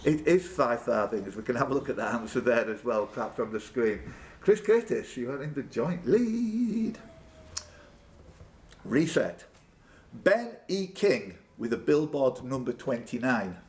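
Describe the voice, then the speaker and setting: A man's voice: mid-range voice, sing-song, unhurried, darkish tone. Man hosting a noisy live pub quiz. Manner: bantering, addresses players by name. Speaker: quiz host reading questions. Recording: clear background noise, live room. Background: room ambience and noise.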